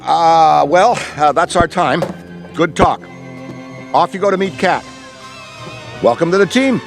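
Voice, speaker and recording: An actor playing Ray, an enthusiastic middle-aged veteran ghostbuster who rambles in wordy, professorial speech. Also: male voice, on the high side, unhurried, vocal fry, over music. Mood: lively. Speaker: veteran mentor. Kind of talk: video game cutscene voice acting.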